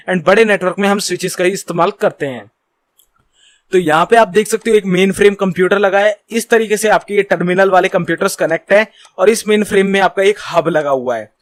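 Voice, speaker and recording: High-pitched man teaching. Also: dry recording. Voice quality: mixes Hindi with English technical terms. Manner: reads English points slowly and deliberately, then explains conversationally. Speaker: online course instructor. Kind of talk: screen tutorial lesson, explaining informally.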